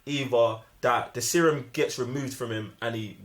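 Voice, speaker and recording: A man's voice: mid-range voice, normal speed, a bit bright. A man with a London British accent chatting casually and enthusiastically about films, full of slang and repeating himself for emphasis. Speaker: film review panellist. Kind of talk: casual film chat.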